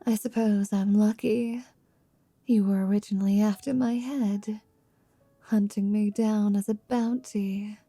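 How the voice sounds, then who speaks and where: A woman playing a confident, teasing half-vampire wizard in an intimate ASMR roleplay, speaking in a soft, languid whisper. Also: mid-range voice, steady tone, a little slow, dry recording, faint music behind. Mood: tender.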